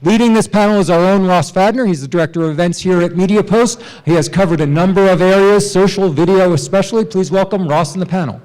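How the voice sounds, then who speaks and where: A man hosting a conference who gives announcements and introduces the next panel, speaking haltingly with many fillers. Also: sits high, a little mellow, low-quality recording. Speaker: conference host.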